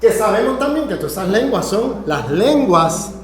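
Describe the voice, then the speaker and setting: High-voiced male lecturer in an echoey room. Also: big pitch swings, moderate pace. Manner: didactic, emphatic, punctuates points with check-in tag questions. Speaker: lecturer teaching a class. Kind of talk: improvised academic lecture. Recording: very echoey room, faint noise.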